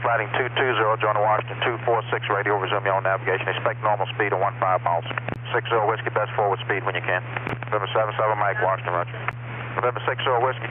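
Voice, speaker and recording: A man working as a departure air traffic controller, giving clipped, rapid instructions in radio phraseology. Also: fairly low pitch. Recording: tinny radio channel with static hiss.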